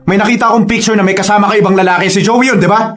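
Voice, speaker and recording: A man's voice: sits high, fairly even intonation, very fast, fairly bright tone. A man acting a domineering, jealous young father and boyfriend in a radio drama. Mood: jealous, angry. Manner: domineering, intense. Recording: music under the voice.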